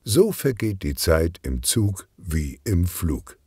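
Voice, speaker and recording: A man's voice: low voice, very animated, takes it slowly, darkish tone, husky, dry recording, clean studio sound. A man narrating a children's detective story from a script. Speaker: audiobook narrator.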